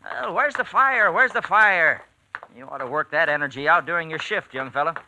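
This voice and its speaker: An actor playing a bossy, exasperated factory timekeeper, scolding in folksy slang in 1940s radio style. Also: male voice, a little high, animated, normal speed, sharp tone, slight background noise, full character voice, poor audio. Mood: scolding, brusque. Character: bossy, exasperated factory time-clock man, older than worker.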